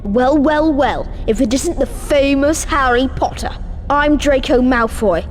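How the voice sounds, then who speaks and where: A woman's voice: high-pitched, a little slow, long reverb tail, slight background noise. A woman voicing an arrogant young schoolboy bully in a video game, drawling and taunting. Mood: sneering.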